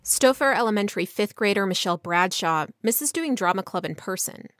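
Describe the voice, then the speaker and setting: A woman's voice: medium pitch, expressive, a little fast, dry recording. Woman news reporter, mid-range voice, scripted radio narration, tight dry sound.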